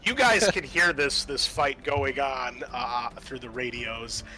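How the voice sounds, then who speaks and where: Male game master for horror tabletop play: unhurried, bright, halting descriptive narration. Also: mid-range voice.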